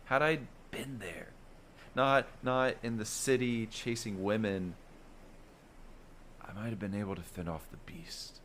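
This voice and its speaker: A man narrating a first-person fantasy bedtime story in a measured, dramatic storytelling manner. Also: lowish voice, fairly bright tone, a little hiss or hum.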